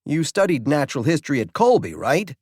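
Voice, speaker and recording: A man playing cynical middle-aged game warden, audiobook character, raised pitch, brash, informal, emphatic. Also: wildly swooping pitch, a little fast, a little mellow, breathy edge, no echo, clean studio sound, heavily acted. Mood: excited, urgent.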